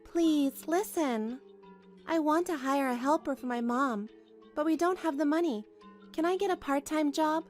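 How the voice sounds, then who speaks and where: High-voiced, long-suffering young wife played by an actress. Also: female voice, unhurried. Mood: pleading. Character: put-upon young wife, anxious, earnest, long-suffering. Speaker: narrator and lead of a manga dub. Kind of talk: dramatised first-person story narration. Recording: music behind the voice.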